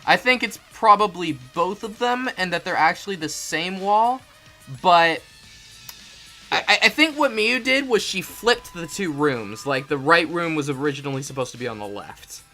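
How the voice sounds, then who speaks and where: A man's voice: sits high, expressive, normal speed, bright, forceful tone, soft background music, exaggerated voice. A man voicing a puzzled, thoughtful teenage student detective in a game fan dub. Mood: pondering.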